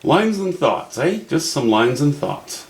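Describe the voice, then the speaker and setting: A man's voice: a little high, sing-song, a little slow, echoey room. A man with a Canadian accent, thinking aloud in a wry, musing way as he walks through a painting demo. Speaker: painting instructor. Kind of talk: casual painting demo narration.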